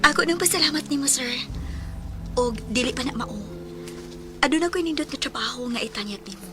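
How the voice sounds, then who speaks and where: A generous, well-off lady with a fairly high voice and a Cebuano accent. She is gracious and earnest, in radio drama style with clear music underneath. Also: animated, fairly quick. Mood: generous, eager. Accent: Cebuano Filipino. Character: grateful, generous well-off businesswoman.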